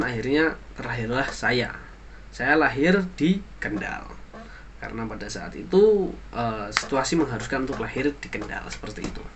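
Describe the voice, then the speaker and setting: A young man, a university student, giving an earnest spoken opinion for a class assignment, halting now and then with fillers and restarts as he moralizes. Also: medium pitch, fairly bright tone, slight background noise. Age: young adult.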